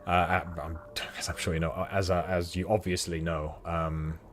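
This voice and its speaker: A man's voice: low-pitched, little pitch movement, normal speed, a bit bright, slight background noise. A British man streaming a space game, rambling chattily to his chat at a desk mic. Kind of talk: casual livestream chat while playing.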